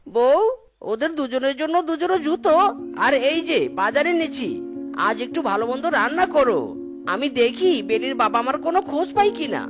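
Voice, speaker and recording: Woman voicing a kind cartoon father, gentle, high voice, faint music. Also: animated, fairly quick. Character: kind fatherly male animal, caring husband. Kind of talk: cartoon voice acting.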